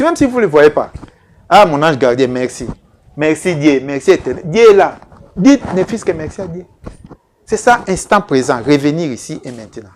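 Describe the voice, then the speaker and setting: A man's voice: sits high, slight background noise. Earnest fiftyish male life coach. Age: 50s. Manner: slow, repetitive, earnest, addressing viewers directly. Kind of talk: televised coaching talk.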